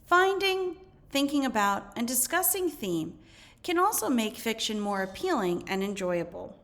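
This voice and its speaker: A woman teacher reading educational material aloud in a measured, instructional way. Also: a little high, sing-song, a bit bright. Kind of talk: educational read-aloud narration.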